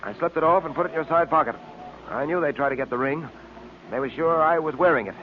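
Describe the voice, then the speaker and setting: A man's voice: fairly high pitch, quick pace, a little hiss or hum, natural voice. Tinny old-radio American detective hero, played by a man. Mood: confident. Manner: composed, explanatory, instructive. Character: confident, brainy male police detective hero. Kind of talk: old radio serial acting. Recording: tinny, band-limited old radio recording.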